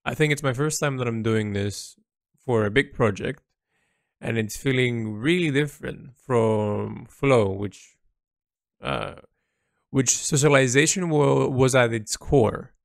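Man, creaky voice, reflective monologue, halting delivery, searching for words, dry close sound. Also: medium pitch, dry recording. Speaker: artist narrating an audio log.

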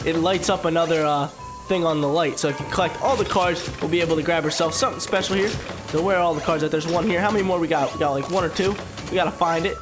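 Stuffy-nosed young guy talking fast over game music, high voice, headset mic. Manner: energetic, slangy, jumps to high-pitched exclamations. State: congested, stuffed-up nose. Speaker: gaming YouTuber. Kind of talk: casual let's play commentary.

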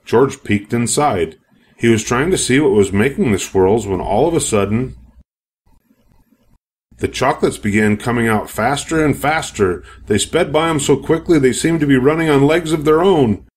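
An older man reading a children's picture book aloud as an expressive storyteller. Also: mid-range voice, moderate pace, breathy edge. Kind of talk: scripted narration, picture book read-aloud.

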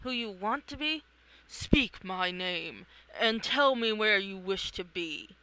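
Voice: mid-range voice, expressive, takes it slowly, noisy background. A person reading a comic aloud, acting out the characters in a theatrical, exclamatory way. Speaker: reader of own comic. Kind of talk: animated read-along of a comic, acting out characters.

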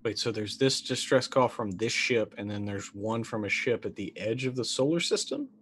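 A man in his thirties talking casually as a tabletop game player, asking questions and thinking out loud. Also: fairly low pitch, expressive, normal speed, slight background noise. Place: indoor room.

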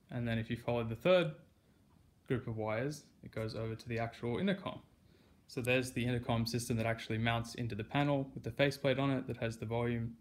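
A man hosting a casual hands-on demonstration vlog, speaking from behind a handheld camera in a room at home. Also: mid-range voice. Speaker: vlog host. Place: carpeted room at home, indoors. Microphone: handheld camera or phone mic, speaker behind the camera.

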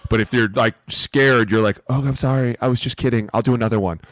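A man's voice: medium pitch, steady tone, fast, dry recording. A man telling casual, salty anecdotes on a podcast, bluntly mimicking the people he quotes. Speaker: podcast co-host, former NFL lineman. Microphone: close podcast mic with headset.

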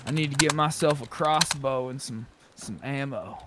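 A man's voice: medium pitch, normal speed. A man chatting casually as he guides other players in an online roleplay game. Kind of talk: casual chat while roleplaying in a video game. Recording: faint keyboard and game background noise.

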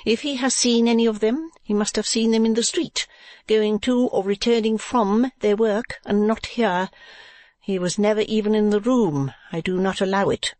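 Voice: medium pitch, moderate pace, a little mellow, no room sound, pitched above the performer's own voice, lightly acted. A reader voicing a haughty, genteel businesswoman wife in a classic novel, with an imperious, scolding manner. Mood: businesslike. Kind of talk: classic novel audiobook character.

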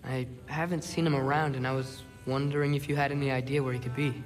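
A man's voice: medium pitch, little pitch movement, quick pace, natural voice. A young-sounding male actor playing an earnest, awkward teenage boy in a video game, hesitant and apologetic. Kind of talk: video game cutscene acting. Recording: background music under dialogue.

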